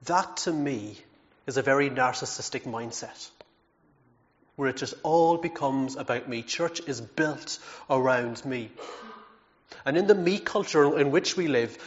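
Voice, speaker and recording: A man's voice: mid-range voice, measured pace, highs cut off. A man preaching a sermon in an earnest, emphatic way, with self-deprecating asides and frequent repeated words.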